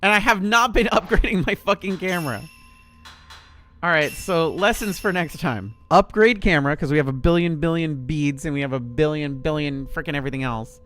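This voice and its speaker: A man's voice: on the high side, brisk, a bit bright, a little hiss or hum. Chatty, profane man streaming a game. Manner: laid-back. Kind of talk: casual gameplay commentary.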